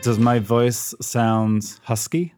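A man's voice: mid-range voice, fairly even intonation, slow, loud music behind the voice. A middle-aged man co-hosting a podcast over a call, talking casually with many ums and a dry, self-mocking humor. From United Kingdom. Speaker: podcast co-host. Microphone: remote call.

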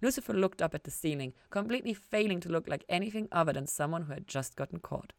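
Low-pitched woman narrating a story. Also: lively intonation, fast talker, no room sound, clean studio sound. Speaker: podcast host reading fan fiction.

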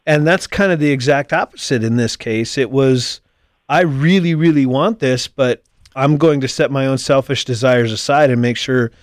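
A middle-aged American man hosting a casual conversational interview, thinking aloud in a wordy, halting way and often rephrasing. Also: medium pitch, no room sound, studio quality. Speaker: podcast host.

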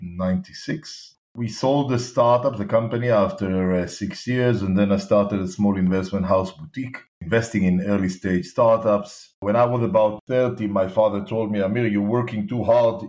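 A man in his fifties with an Israeli accent, a podcast guest speaking earnestly in short phrases with pauses and fillers. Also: on the low side, steady tone, darkish tone. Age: 50s. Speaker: guest, startup founder. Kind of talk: conversational interview.

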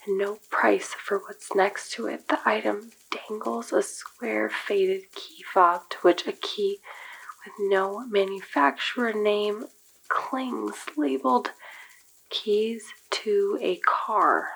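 A woman's voice: medium pitch, gravelly, husky, exaggerated voice, rough recording. A woman playing an entranced young woman. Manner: slow, hushed, entranced. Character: unnerved young woman drawn to an eerie machine. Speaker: young woman haunted by supernatural forces. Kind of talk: audio drama acting.

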